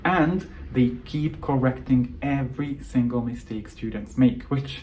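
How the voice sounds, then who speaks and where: Mellow-voiced Hungarian man coaching English. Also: medium pitch, unhurried, slight background noise. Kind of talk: scripted educational monologue.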